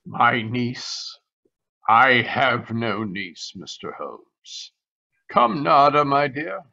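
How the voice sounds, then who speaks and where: Man acting slow, sharp-voiced, wounded colonel. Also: medium pitch, lively intonation, gravelly, heavily acted. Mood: wounded, cold. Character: grave, wounded old-fashioned colonel, stern uncle. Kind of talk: melodramatic old-time radio acting. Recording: muffled video-call audio.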